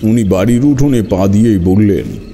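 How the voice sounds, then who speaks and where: A man's voice: lowish voice, expressive, moderate pace, warm tone, a little hiss or hum. A man narrating a horror story dramatically in the first person.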